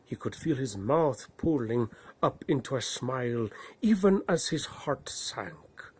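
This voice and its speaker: A man narrating a bedtime story in a put-on Norse accent, with a husky voice and slow, dramatic phrasing that swells and hushes. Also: medium pitch, big pitch swings.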